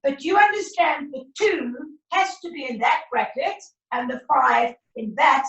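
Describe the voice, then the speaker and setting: A woman maths teacher, teaching a class over a video call in a brisk, encouraging way, her voice croaky. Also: sits high, fairly bright tone, highs cut off. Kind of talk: online class lesson. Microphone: laptop video call. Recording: roomy far-off video call audio.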